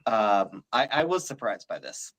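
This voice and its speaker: A man's voice: on the low side, big pitch swings, a little slow, a bit bright. Hesitant man on a video call. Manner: hesitant, clears throat, many "like"s. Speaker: panelist. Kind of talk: casual panel chat. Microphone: webcam on a video call.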